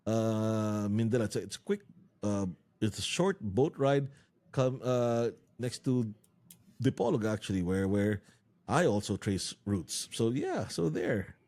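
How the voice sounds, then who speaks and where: Lively, laughing male podcast host. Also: on the low side, measured pace. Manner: jokey and laughing, with lots of fillers and restarts. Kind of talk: casual interview chat.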